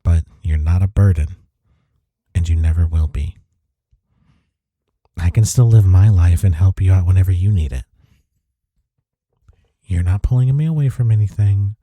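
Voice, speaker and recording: A man playing a devoted, gentle boyfriend in an intimate comfort audio roleplay, soft-spoken and reassuring. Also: low voice, moderate pace, long pauses, dry recording. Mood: tender, reassuring.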